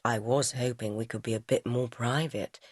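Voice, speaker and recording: A woman voicing a smooth, impatient man coaxing his lover in an audiobook. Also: extremely low pitch, fairly even intonation, normal speed, no echo, clean studio sound, voice pushed down.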